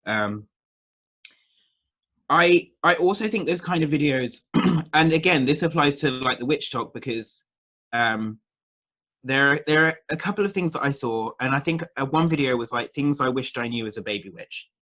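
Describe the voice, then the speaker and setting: A man with a southern English accent talks in a casual interview through slightly muffled, lo-fi audio. His voice sits at a middling pitch. He rambles and hesitates, circling round his points as he goes. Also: lively intonation, normal speed, a bit bright. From England, near London. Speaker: interview guest, witchcraft practitioner.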